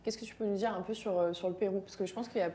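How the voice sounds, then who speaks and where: A woman's voice: mid-range voice, fairly even intonation, racing, dark tone. A woman hosting a studio interview in a friendly, casual way, with many small fillers. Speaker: interview host.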